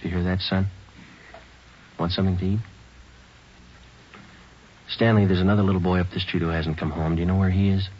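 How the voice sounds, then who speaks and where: A man playing a steady American police sergeant who narrates his case in a clipped, matter-of-fact way in a 1940s radio drama. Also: low-pitched, fairly quick, pauses often, a little hiss or hum, close to an everyday voice. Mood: calm, coaxing. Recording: muffled old 1940s radio recording.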